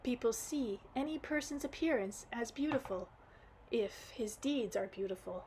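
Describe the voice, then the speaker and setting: A woman reading aloud as a gentle, wise old great-grandfather who calmly comforts a child. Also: on the high side, moderate pace, a little hiss or hum, close to an everyday voice, rough recording. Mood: soothing. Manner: calm, kindly, reassuring.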